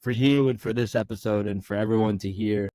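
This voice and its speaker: Chatty young podcast host, dry sound. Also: male voice, lowish voice, steady tone, fairly quick, dark tone, no echo. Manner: loose and chatty, lots of fillers, laughs often. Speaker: active-duty service member. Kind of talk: casual interview chat.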